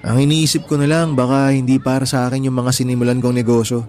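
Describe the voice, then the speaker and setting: Actor as hardworking young husband, earnest, humble, mild everyday delivery, radio drama, music underneath. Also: male voice, medium pitch, flat delivery, fast talker, a little mellow, natural voice. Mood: earnest, determined. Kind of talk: Tagalog radio drama dialogue.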